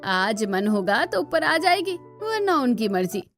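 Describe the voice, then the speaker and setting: A woman's voice: on the high side, very animated, a little slow, a bit bright, exaggerated voice. Cartoon village matriarch played by a woman: old, earthy, bossy, rustic speech, music underneath. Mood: resigned. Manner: rustic dialect, scolding.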